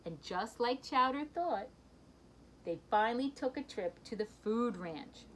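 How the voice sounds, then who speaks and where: Animated, higher-voiced woman reading a story. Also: a little slow, slight background noise. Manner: animated storytelling with brief asides to listeners. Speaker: picture book reader. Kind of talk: expressive children's story read-aloud.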